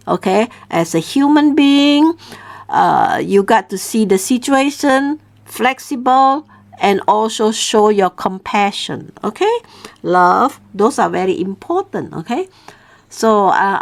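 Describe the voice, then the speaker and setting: A woman speaks at a slow pace in a middle-pitched voice. She teaches through storytelling, spelling out each point for learners and often checking in with a short "okay?". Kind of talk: informal storytelling lesson for learners.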